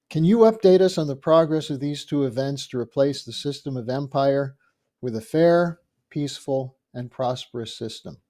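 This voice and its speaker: Older man reading questions, mellow voice. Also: mid-range voice. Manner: measured, reads questions aloud. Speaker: host. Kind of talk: webcast hosting, reading viewer questions. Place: home office with bookshelves. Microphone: video call.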